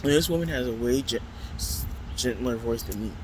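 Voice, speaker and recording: A man chatting casually in a rambling vlog, talking loudly into a handheld phone outdoors at a transit stop. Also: medium pitch, normal speed. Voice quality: loud voice. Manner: rambling, chatty, full of fillers. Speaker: vlogger. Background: faint city traffic noise.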